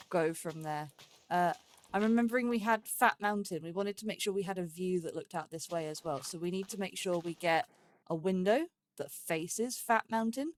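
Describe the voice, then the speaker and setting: A woman giving casual let's play commentary as the host of a gaming series, rambling and thinking aloud as she goes. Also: lowish voice.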